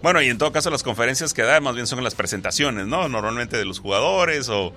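A man's voice: medium pitch, animated, quick pace, bright, forceful tone, soft background music. A man co-hosting a casual football chat, explaining at length with lots of fillers and restarts. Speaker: sports talk co-host.

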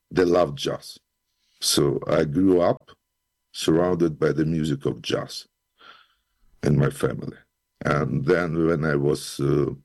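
A man from Ukraine, answering interview questions in a measured, formal way. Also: very deep voice, gravelly, no echo. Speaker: guest, scientist and musician.

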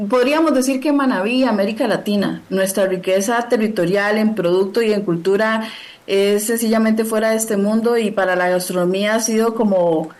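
A woman from Costa Rica speaks as an interview guest over a video call. She sounds friendly and fluent but fills her pauses often. Her voice sits at an ordinary middle pitch. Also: a little mellow. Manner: friendly, fluent with many fillers. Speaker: guest, gastronomy researcher.

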